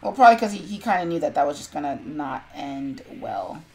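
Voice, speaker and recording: Expressive woman with lively, swinging pitch. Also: a little fast. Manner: expressive, reactive interjections. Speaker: stream co-host. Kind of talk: casual chat on a live stream.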